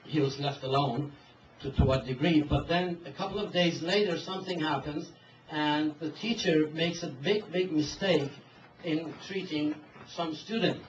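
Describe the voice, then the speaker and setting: A man telling a story and then putting a question to a speaker, halting with many fillers and restarts. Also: fairly high pitch. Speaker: interviewer. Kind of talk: hesitant spoken question to a speaker. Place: event hall. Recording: distant mic, dull, roomy hall with hiss.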